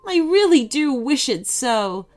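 A woman playing a kindly, motherly baker who gently cautions, in a children's storybook reading. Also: high-pitched, big pitch swings, measured pace, soft background music, pitched above the performer's own voice. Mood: wishful.

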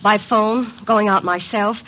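Husky-voiced earnest young secretary. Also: female voice, medium pitch, fairly even intonation, unhurried, fairly bright tone, rough recording. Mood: worried, earnest. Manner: polite, hesitant. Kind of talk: classic radio drama acting.

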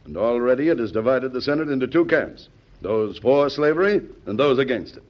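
An actor playing a resolute, courtly American senator in an old-time radio drama, speaking formally like an orator. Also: male voice, mid-range voice, animated, fairly quick, natural voice. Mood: earnest, resolute. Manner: declamatory, formal. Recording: dull, lo-fi old radio recording.

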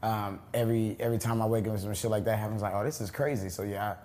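A man's voice: lowish voice, fairly even intonation, fast talker, a little mellow. A young man, a stand-up comedian from Los Angeles, chatting casually in an interview in a laid-back, rambling way. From Los Angeles, USA.

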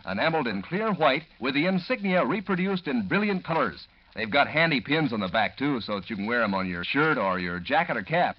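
A male announcer gives an old-time radio sales pitch to kids. His fairly high voice is highly animated and folksy, on a dull vintage recording. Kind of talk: 1940s radio advertising pitch to children.

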